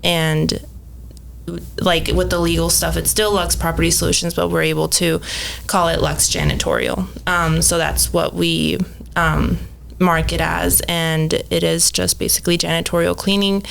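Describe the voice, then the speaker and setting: A woman's voice: fairly low pitch, normal speed, vocal fry, a little hiss or hum. A woman in her thirties, from Mexico and raised in Phoenix, chatting casually as a podcast guest with lots of ums and likes. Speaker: podcast guest, cleaning business owner. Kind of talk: casual interview chat.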